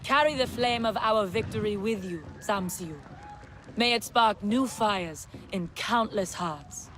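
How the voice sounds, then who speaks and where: An actress plays a proud, solemn warrior woman of an alien clan in a video game. Her voice is mid-pitched, with faint noise behind it. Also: unhurried, fairly bright tone, close to an everyday voice.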